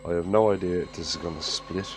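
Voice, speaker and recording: A man's voice: sits low, steady tone, fast talker. An Irish man with a head cold, talking plainly as he works in his woodturning workshop. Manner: plain-spoken, matter-of-fact. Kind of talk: workshop narration. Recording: workshop noise behind voice.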